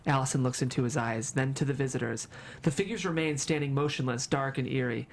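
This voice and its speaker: A screenplay narrator at a table read, with a medium-pitched voice. The stage directions are delivered as dramatic storytelling, scene by scene. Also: brisk, vocal fry, a little hiss or hum.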